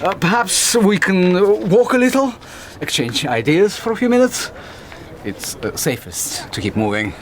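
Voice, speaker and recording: High-voiced, courteous contact, halting and careful, radio play. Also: male voice, big pitch swings, a little hiss or hum. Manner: halting, courteous, many hesitations. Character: hesitant, polite Russian contact man.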